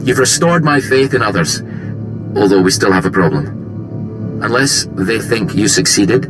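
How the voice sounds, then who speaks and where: Idealistic scientist, video game, lowish pitch, earnest, gentle, pleading, background music. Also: male voice, lively intonation, a little slow, rough recording. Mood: grateful, relieved.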